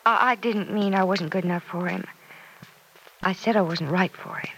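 An earnest young heroine of an old radio soap, played by a woman. She confides in a medium-pitched voice, hesitant and soft-hearted, full of self-doubt about love. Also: moderate pace, a little hiss or hum, close to an everyday voice, low-quality recording. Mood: hesitant, troubled. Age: young adult. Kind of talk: old-time radio serial acting.

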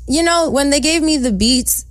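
A young woman singer-songwriter with a soft voice, chatting in an upbeat, slangy, bubbly way as an interview guest. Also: high-pitched, normal speed, no echo, clean studio sound. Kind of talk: casual interview chat.

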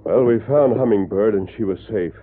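A man's voice: lowish voice, normal speed, close to an everyday voice. A man playing a commanding, upright masked western lawman in a 1940s radio drama. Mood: kindly, assured. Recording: muffled, noisy old radio broadcast.

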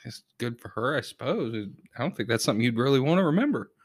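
A man's voice: medium pitch, lively intonation, quick pace, dry recording, clean studio sound. A young man co-hosting a casual true crime podcast, curious and full of questions. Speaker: podcast co-host. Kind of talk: casual chat.

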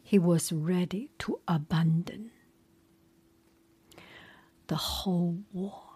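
Very slow, breathy whispering woman. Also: on the low side, fairly even intonation, mellow. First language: not English. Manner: whispering, hesitant with many fillers. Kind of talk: whispered chat and book reading.

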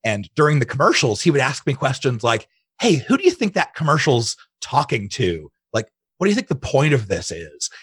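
A man's voice: medium pitch, big pitch swings, fairly quick, hardly any pauses, fairly bright tone, breathy edge, no echo, studio quality. A man speaking as an interview guest, chatting casually and telling personal stories, often quoting his own thoughts. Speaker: interview guest, digital marketing entrepreneur.

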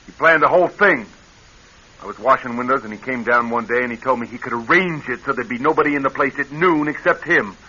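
An actor in an American radio drama playing a bitter, wary ex-convict who is down on his luck, husky and curt. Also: medium pitch, fast, bright, forceful tone. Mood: bitter, defensive. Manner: curt, gruff, defensive. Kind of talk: classic radio drama acting. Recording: muffled old radio recording.

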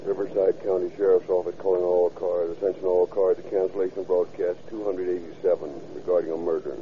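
An American male dispatcher delivers a formulaic all-cars police bulletin. The voice is low and flat, on a muffled vintage radio recording with faint noise. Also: a little fast.

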